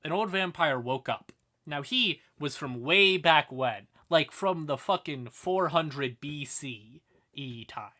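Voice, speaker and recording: A man's voice: fairly high pitch, animated, slow, pushed and bright. A man hosting a livestream and explaining fantasy lore in a casual, irreverent, sweary, conversational way. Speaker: livestream host.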